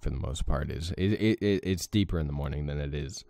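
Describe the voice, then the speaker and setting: A young man with a deep morning voice hosting a let's play, chatting casually with lots of fillers. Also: a little fast, gravelly, mellow. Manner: rambling, many fillers, self-deprecating. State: morning voice with a crack. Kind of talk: casual gameplay commentary.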